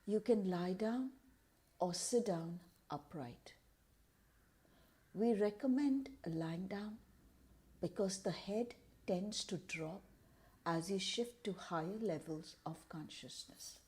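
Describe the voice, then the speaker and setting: Slow, mellow woman guiding meditation. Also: mid-range voice. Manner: calm, measured, deliberate phrasing with pauses. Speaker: guided meditation leader. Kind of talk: guided meditation narration.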